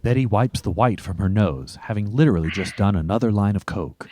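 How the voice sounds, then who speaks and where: A man's voice: fairly low pitch, lively intonation, a little fast, dark tone, a little hiss or hum. A man reading scripted comedic narration as the narrator of an audio drama. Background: music.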